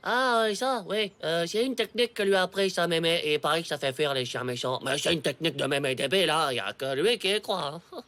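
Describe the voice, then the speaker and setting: An actor voicing an excitable, dramatic ten-year-old boy in a cartoon, whiny and exclamatory. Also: medium pitch, brisk, few pauses, close to an everyday voice. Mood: flustered. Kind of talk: French animated comedy series acting.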